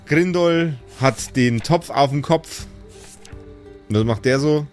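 A man's voice: fairly high pitch, slow pace, soft background music. A man narrating an improvised tabletop role-play as game master.

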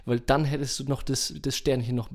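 A man's voice: medium pitch, little pitch movement, brisk, a little mellow. A young adult man co-hosting a podcast, chatting in a slangy, enthusiastic and informal way. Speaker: podcast co-host. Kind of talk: casual, slangy chat.

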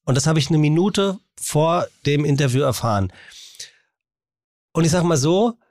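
A man's voice: on the high side, animated, moderate pace, a little mellow, no echo, clean studio sound. A man talking casually as a podcast interviewer, phrasing his thoughts in a hesitant, roundabout way. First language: German.

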